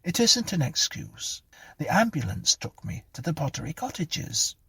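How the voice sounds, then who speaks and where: A man voicing a frantic, pleading, guilt-haunted woman in a thin, breathy, tremulous falsetto for a storybook. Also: very animated, normal speed, bright, forceful tone, dry recording, full character voice. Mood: confused, apologetic.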